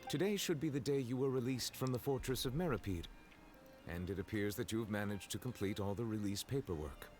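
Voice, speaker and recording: Man playing dignified judge, video game, lowish voice, formal, measured, polite, faint music behind. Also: darkish tone, lightly acted. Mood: composed. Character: formal, composed, courteous male high-ranking judge. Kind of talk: video game character voice acting.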